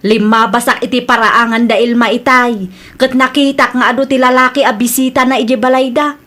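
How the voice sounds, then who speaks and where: A woman's voice: on the high side, fairly even intonation, brisk, sharp tone. A woman with a Filipino Ilocano accent playing a gossipy, suspicious young sister in a comedy drama. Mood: insistent.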